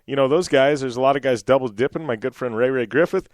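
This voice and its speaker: Man, radio host, slightly raspy, rambling with fillers, smooth resonant tone, dry close sound. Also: mid-range voice, fast, no echo. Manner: rambling, many fillers and restarts. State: slightly raspy from losing his voice. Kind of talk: casual radio talk.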